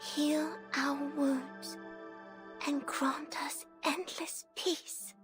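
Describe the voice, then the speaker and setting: An actress playing a devout, vulnerable young athlete in a game, praying in a halting, reverent way. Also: female voice, sits high, flat delivery, fairly bright tone, soft background music, heavily acted.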